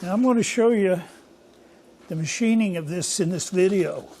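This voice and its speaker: An older man hosting a casual workshop vlog from his home machine shop, talking in a hoarse, rambling, folksy way. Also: sits high, normal speed, darkish tone, slight background noise. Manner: rambling, folksy and self-deprecating. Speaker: workshop channel host.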